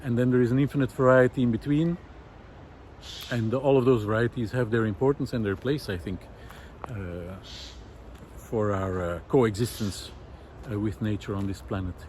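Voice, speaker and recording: A man speaking a reflective, unscripted monologue, thoughtful and halting as he searches for words. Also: fairly low pitch, steady tone, darkish tone. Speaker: host of a nature project podcast. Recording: outdoor city noise, wind, distant traffic. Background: faint city noise, wind, vehicles.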